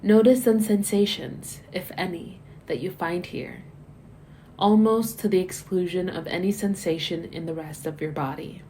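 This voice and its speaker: Mellow, low-voiced woman guiding meditation. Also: steady tone, slight background noise. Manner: gentle, measured, soothing instruction with soft phrase endings. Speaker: meditation guide. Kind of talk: guided body scan meditation, scripted narration.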